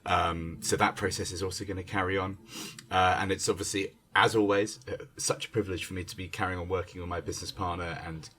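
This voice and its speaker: A man's voice: sits low, steady tone, quick pace, pushed and bright. A man speaking as a theatre director in an unscripted conversation, hesitant and searching, often repeating and restarting his words. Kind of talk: unscripted conversation introducing a creative team. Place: remote internet call. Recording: online call recording.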